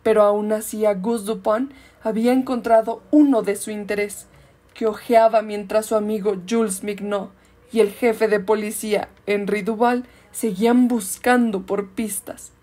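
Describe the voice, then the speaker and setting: A woman's voice: medium pitch, fairly even intonation, moderate pace, warm tone, lightly acted. A woman with a Mexican accent playing a murdered woman's ghost who narrates a radio mystery in a composed storytelling way. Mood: wistful, composed. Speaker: amateur actor in a radio play. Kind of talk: scripted dramatic narration.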